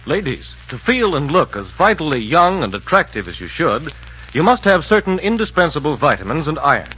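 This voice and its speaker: A man's voice: on the high side, very animated, brisk, bright, forceful tone, a little hiss or hum. A man reading a 1940s radio advertisement as an announcer, with emphatic sales delivery. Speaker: commercial announcer. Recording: muffled 1940s radio recording.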